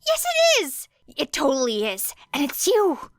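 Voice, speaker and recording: A woman's voice: high-pitched, huge pitch swings, unhurried, no echo, studio quality, pitched above the performer's own voice, full character voice. A woman voicing a manic, teasing, theatrical man who works as a radio host, in an acted audiobook. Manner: exaggerated, playful, needling.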